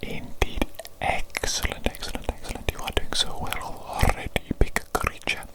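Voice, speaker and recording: A woman voicing an evil, doting mad doctor in a breathy ASMR whisper, with formal, repetitive phrasing. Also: lightly acted. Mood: pleased, instructive. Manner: slow, repetitive, formal old-fashioned phrasing. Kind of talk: whispered ASMR medical roleplay.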